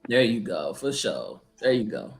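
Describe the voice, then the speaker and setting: A man's voice: fairly low pitch, big pitch swings, a little slow, soft background music. A man hosting an online debate in a casual, slangy, playful way on a group video call. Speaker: host of an online debate tournament. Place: multi-person video call. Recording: video call with clear background noise.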